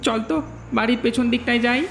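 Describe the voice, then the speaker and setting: Casting: male actor for earnest young fairy-tale villager, extremely high voice, fretful, cartoon, echo. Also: fairly quick, vocal fry, a bit bright, a little hiss or hum, exaggerated voice. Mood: nervous, urgent. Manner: worried, questioning. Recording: echoing voice over background music.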